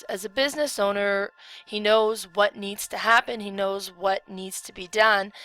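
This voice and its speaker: A woman's voice: mid-range voice, fairly bright tone, no echo. A woman giving a casual tarot reading on video, explaining each card in a chatty way with frequent ums. Her voice is still recovering from a cold. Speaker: tarot reader.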